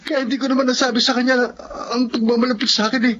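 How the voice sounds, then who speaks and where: A man playing a nervous, soft-hearted young novice thief, hesitant and pleading, in a Filipino radio drama. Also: very high-pitched, level tone, fast. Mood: urgent, pleading. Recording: dull lo-fi audio with faint noise.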